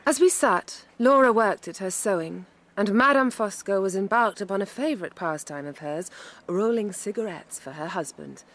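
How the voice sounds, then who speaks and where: An actress playing a resolute young Victorian gentlewoman in a period audio drama, speaking in a measured, articulate and wry way. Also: mid-range voice, expressive, close to an everyday voice. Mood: narrating.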